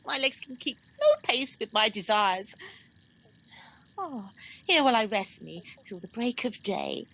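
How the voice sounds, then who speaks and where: A woman's voice: fairly high pitch, lively intonation, a little slow, bright, forceful tone, lo-fi sound, heavily acted. A woman acting a worn-out, woeful young woman in a Shakespearean radio play, declaiming weary verse. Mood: exhausted, woeful.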